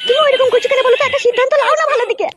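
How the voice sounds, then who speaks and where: A woman voicing a pushy, scolding village wife in a comic cartoon, with a shrill, nagging, foul-mouthed manner. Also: steady tone, rapid-fire, a crowd in the background. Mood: urgent.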